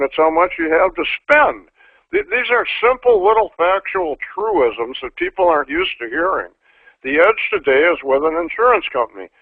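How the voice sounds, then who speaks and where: A man's voice: a little high, no echo. An older man reading a book chapter aloud to insurance agents, breaking off into folksy asides and restarts. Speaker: insurance trainer. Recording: tinny phone-like dry sound.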